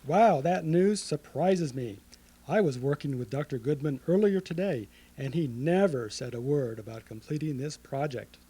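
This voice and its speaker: A man playing a friendly, helpful laboratory researcher with a husky voice in an old-time radio drama. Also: a little high, animated, moderate pace, warm tone, slight background noise, lightly acted. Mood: helpful, surprised. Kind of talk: Golden Age radio drama acting.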